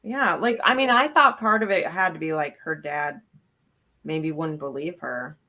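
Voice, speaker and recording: A woman's voice: sits low, measured pace, bright, forceful tone. A woman in a casual book discussion, talking in a blunt, matter-of-fact way. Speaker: book club podcast panelist.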